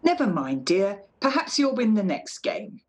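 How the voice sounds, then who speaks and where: A woman playing a practical older working-class mother in a radio play, fussing and motherly, gently chiding. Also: mid-range voice, very animated, unhurried, breathy edge. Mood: teasing, soothing.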